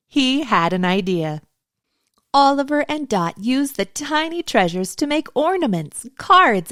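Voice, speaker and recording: A woman's voice: fairly high pitch, no echo. A woman narrates a children's picture book with lots of melodic movement in her voice. She takes her time and tells it expressively for young listeners. The sound is close and dry. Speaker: storytime host and narrator.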